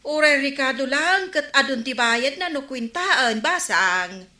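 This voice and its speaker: A woman's voice: high-pitched, lively intonation, measured pace, sharp tone, full character voice. A woman playing a village councillor who minds a store, in an Ilocano radio comedy drama. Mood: curious. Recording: roomy, echoing radio drama recording.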